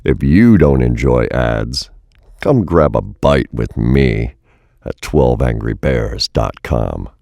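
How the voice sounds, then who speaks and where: A man reading a scripted promo as an announcer, in a drawn-out, theatrical delivery. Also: rumbling low, expressive, creaky, mellow, no room sound. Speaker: announcer reading a sponsor break.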